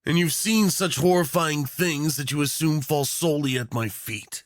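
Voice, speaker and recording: A man's voice: fairly high pitch, moderate pace, no room sound, clean studio sound, lightly acted. A man playing a doting, unhinged rich tyrant in an obsessive romance roleplay, theatrical and saccharine, teasing with pet names. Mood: smug, amused. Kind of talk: yandere ASMR roleplay acting.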